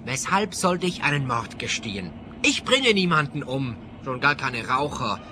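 An actor playing a defiant, sarcastic activist in a radio play, indignant and dry. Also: male voice, mid-range voice, big pitch swings, unhurried, over music. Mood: defiant, scornful. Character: defiant, sarcastic male anti-smoking activist.

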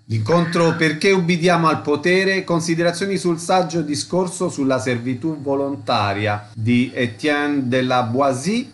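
A man working as a radio interviewer, reading prepared questions and announcements. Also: medium pitch.

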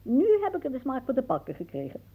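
An actress playing a whimsical, dreamy elderly aunt in a radio play, musing theatrically and drifting into hushed asides. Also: female voice, high voice, wildly swooping pitch, quick pace, husky, exaggerated voice, low-quality recording. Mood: playful, musing.

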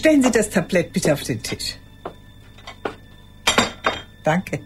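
An actress playing a lonely old woman who reminisces in measured, reflective phrases in an intimate radio play monologue. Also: fairly low pitch, big pitch swings, over music. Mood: polite, hospitable. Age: older. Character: old woman recalling her childhood and lost love, lonely.

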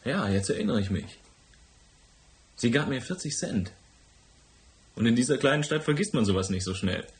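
A man's voice: mid-range voice, lively intonation, normal speed, pauses often, lightly acted. A man playing a sly, obliging hotel bellboy in a noir radio drama, cheeky and casually deferential. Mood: eager, recalling.

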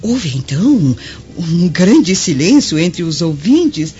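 A woman's voice: fairly low pitch, big pitch swings, slow. An actress playing a devout servant woman, telling her story tenderly and reverently in a declamatory radio drama style. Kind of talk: Brazilian radio drama acting. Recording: dull audio, soft music behind.

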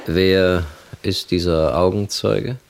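An actor playing a cautious, authoritative police chief in a 1960s German radio play, curt and patronising. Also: male voice, low-pitched, fairly even intonation, takes it slowly, vocal fry, full character voice. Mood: curt, dismissive.

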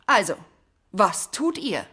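An actress playing a brisk, strict female teacher at a superhero school in a children's radio play, instructing and commanding. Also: medium pitch, very animated, bright, forceful tone, slightly rough, exaggerated voice.

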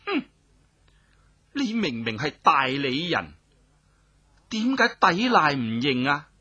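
Narrator acting out cold, imperious noblewoman with husky edge. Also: mid-range voice, very animated, slow pace, sharp tone, muffled recording, heavily acted. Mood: scornful, menacing. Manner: scornful snorts, commanding, menacing. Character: cold, cruel, imperious noblewoman passing death sentences.

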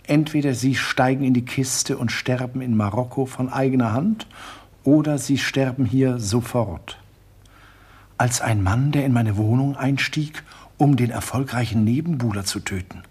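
A man playing a husky, suave villain collector. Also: medium pitch, normal speed, a little mellow, lightly acted. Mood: menacing. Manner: smooth, coolly menacing, mocking. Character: suave murderous male art collector, villain. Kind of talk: radio drama acting.

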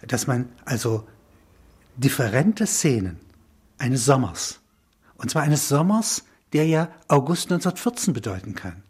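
A man in reflective intellectual conversation, musing in halting phrases punctuated with small affirming tags. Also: medium pitch, very animated, a little mellow, husky. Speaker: interviewee, filmmaker.

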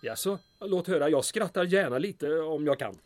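A man's voice: on the high side, lively intonation, close to an everyday voice. En man som spelar en pressad, torrt ironisk borgmästare i ett ljuddrama. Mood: amused. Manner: exasperated, drily ironic. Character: beleaguered, wry male small-town mayor protesting his innocence. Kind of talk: audio drama acting.

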